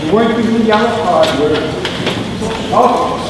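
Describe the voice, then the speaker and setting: Guy running a town meeting, kinda high voice, super echoey auditorium, far from the mic. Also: normal speed, heavy background noise. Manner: firm, plainspoken, giving instructions to a crowd. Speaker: meeting moderator. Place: large auditorium.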